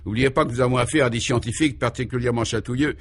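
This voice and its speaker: A man's voice: medium pitch, steady tone, racing, lightly acted. Man playing a sententious, fussy rule-following fellow. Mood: indignant. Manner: sententious, easily indignant. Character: sententious, fussy male guide insisting on rules.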